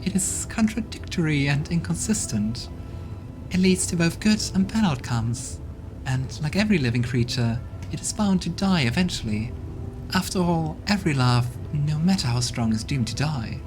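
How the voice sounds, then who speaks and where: A man's voice: a little high, expressive, moderate pace, voice pushed up, natural voice. A man voicing a wistful, seductive succubus lady. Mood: musing. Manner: musing, softly persuasive. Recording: background game music.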